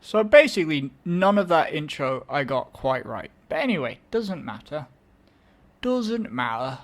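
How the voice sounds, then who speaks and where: A young man with an English accent talks loosely to his listeners between tracks. His voice sits a little on the high side, and he keeps correcting himself mid-sentence with dry, self-mocking asides. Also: sing-song, unhurried. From England. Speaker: podcast host. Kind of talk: casual solo chat introducing songs.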